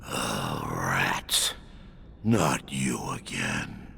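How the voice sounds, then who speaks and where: An actor playing a boastful, melodramatic warrior who wanders between dimensions, speaking in a grandiose, florid, theatrical way with a gravelly voice in a video game. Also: male voice, sing-song, very slow, a little hiss or hum, heavily acted. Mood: lofty, weary. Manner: grandiose, florid, swinging from hushed to booming.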